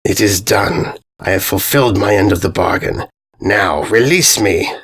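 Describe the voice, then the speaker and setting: A man voicing a menacing giant centaur villain in a storybook, with a raspy voice and a grandiose, theatrical, exasperated manner. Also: lowish voice, normal speed, a bit bright. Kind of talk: storybook narration character voice.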